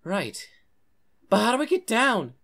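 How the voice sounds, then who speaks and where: A woman voicing an eager young boy in an earnest, expressive way for a comic fan dub. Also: medium pitch, unhurried, heavily acted. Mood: curious, amazed. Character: young boy who befriends a forest girl, eager, defiant.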